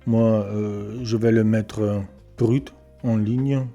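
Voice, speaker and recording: German man, non-native French with German accent, halting delivery, slow, low dark voice, home workshop setting. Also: steady tone, creaky, faint music behind. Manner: hesitant, searching for words with many fillers. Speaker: electronics repair beginner presenting his channel. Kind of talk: casual self-introduction and workshop tour.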